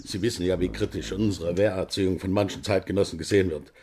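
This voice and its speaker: An actor playing an older male party veteran painter who talks bluntly and gruffly in a radio drama. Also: deep voice, steady tone, quick pace, a little mellow, lightly acted. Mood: ranting.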